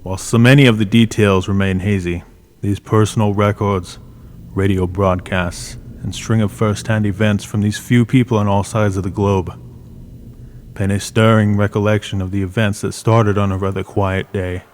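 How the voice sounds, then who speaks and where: A man tells the story in a slightly low, measured and solemn voice. It is scripted radio drama narration, with a faint hiss running underneath. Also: level tone, a little mellow.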